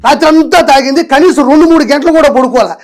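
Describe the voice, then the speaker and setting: Guy ranting and mocking, talks fast and high, accusing, slight noise. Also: faint music behind. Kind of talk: mocking political commentary.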